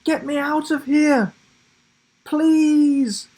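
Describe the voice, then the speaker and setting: A man voicing a young boy hero in a storybook adventure, high-pitched and urgent. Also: fairly even intonation, extremely slow, darkish tone, heavily acted. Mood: panicked pleading.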